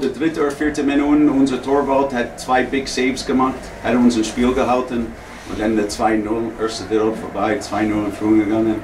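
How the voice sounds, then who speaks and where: A man in his forties, a hockey coach recapping a game in simple, non-native phrasing with English words mixed in. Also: mid-range voice. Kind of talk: post-game press conference recap. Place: indoor press conference room. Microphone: handheld microphone. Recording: echoey, noisy room, distant sound.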